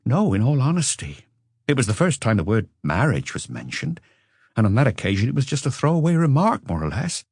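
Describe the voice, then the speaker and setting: A man voicing an educated Frenchman who looks back on a passionate marriage with regret, in a measured, confessional audiobook reading. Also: mid-range voice, sing-song, darkish tone, slightly rough, no room sound, clean studio sound, voice pushed up. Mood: candid, resigned. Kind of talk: audiobook character dialogue.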